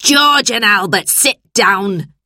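A woman plays a big, cross schoolmistress from a children's story. She scolds and barks orders imperiously. Her delivery is slow and deliberate, with some creak in the voice. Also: medium pitch, a bit bright, dry recording, clean studio sound. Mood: angry, scolding. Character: large, stern, bad-tempered schoolmistress who bullies children.

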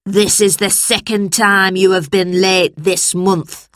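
A woman plays a big, cross schoolmistress from a children's story. She scolds and barks orders imperiously. Her delivery is slow and deliberate, with some creak in the voice. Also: medium pitch, a bit bright, no room sound, clean studio sound. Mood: angry, scolding. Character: large, stern, bad-tempered schoolmistress who bullies children.

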